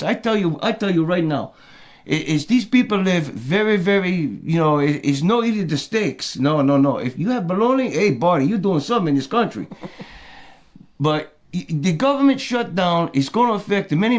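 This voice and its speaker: A man doing a worked-up middle-aged immigrant with a heavy put-on Portuguese accent, riding a high-pitched voice. He rants in broken English and keeps calling himself by his own name, like a comic character monologue. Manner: broken English, talks of himself in third person.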